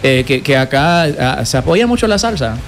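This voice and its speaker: A man's voice: medium pitch, lively intonation, a little hiss or hum. A middle-aged man from the United States, a salsa singer, chatting as an interview guest and often restarting his words. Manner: halting, many restarts and repeated words. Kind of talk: casual interview chat.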